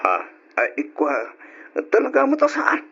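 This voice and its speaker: A man's voice: very high voice, wildly swooping pitch, normal speed, bright, forceful tone, breathy edge, heavily acted. An actor playing an evasive two-timing husband caught out in an Ilocano radio drama, stammering and stalling as he talks. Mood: evasive, nervous. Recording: dull old radio drama recording.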